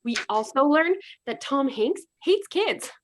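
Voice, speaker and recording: A woman narrating a comedy radio play in a matter-of-fact, deadpan manner. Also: high voice, measured pace.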